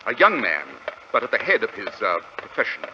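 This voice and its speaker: An actor playing a brilliant, commanding, sardonic London detective with an English accent, in a crisp, theatrical 1940s radio drama style. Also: male voice, on the low side, huge pitch swings, fairly quick. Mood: brisk, confident. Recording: dull, noisy vintage radio recording.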